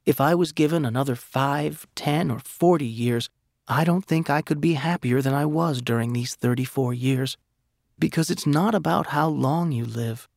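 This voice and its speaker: A man reading aloud a dying mother's tender farewell letter to her son in a gentle, earnest voice. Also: mid-range voice, gravelly, no echo, studio quality.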